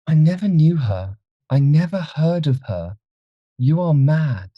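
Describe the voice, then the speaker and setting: Male reader as haunted proud gentleman, agitated, curt, lively swooping intonation, shifted up, dry close sound, gothic audiobook. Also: mid-range voice, normal speed, dry recording, lo-fi sound, pitched above the performer's own voice. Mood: frightened. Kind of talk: dramatised audiobook character reading.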